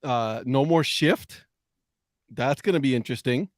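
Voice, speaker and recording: A man's voice: mid-range voice, measured pace, dry recording, studio quality. A man co-hosting a baseball talk show in a casual, conversational style. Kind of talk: casual chat.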